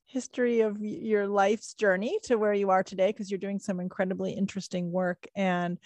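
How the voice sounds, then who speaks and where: A woman's voice: mid-range voice, gravelly, a little mellow, no echo. A middle-aged woman hosting a podcast, reading a scripted intro and then chatting with a guest in an upbeat, enthusiastic way. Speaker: podcast host.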